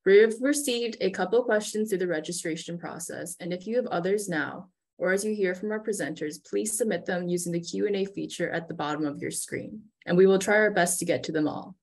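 A woman's voice: lowish voice, brisk, vocal fry, hardly any pauses. A woman co-hosting a webinar over a video call, steadily reading sponsor thanks and panelist introductions. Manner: steady scripted read. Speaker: webinar co-host.

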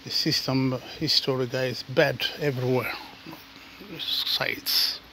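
A man, not a native English speaker, talking casually to his viewers while walking outdoors and filming with his phone, often calling them guys and dropping to soft asides. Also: mid-range voice, little pitch movement, takes it slowly, a little hiss or hum. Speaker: vlogger. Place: outdoors on a suburban footpath.

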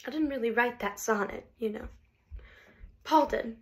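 A woman's voice: on the high side, normal speed, gravelly. Woman playing a tearful, unraveling wife. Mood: manic, tearful. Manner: swings between hushed whispers and loud outbursts. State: near tears. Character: heartbroken wife refusing a divorce, erratic. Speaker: actress performing a monologue. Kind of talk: comic stage monologue.

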